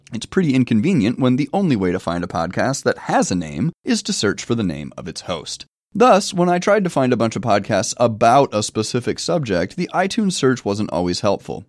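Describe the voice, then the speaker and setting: A man's voice: mid-range voice, dry recording, clean studio sound. A middle-aged man with a cold, hosting a solo podcast as a professor, talking in a wry, self-deprecating way. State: has a cold. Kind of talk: solo review podcast monologue.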